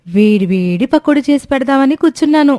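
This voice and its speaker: A woman voicing a naive, cheerful, scatterbrained young daughter-in-law in an animated story, speaking eagerly and politely. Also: high voice, a little fast, no echo, studio quality. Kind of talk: Telugu animated moral story dialogue.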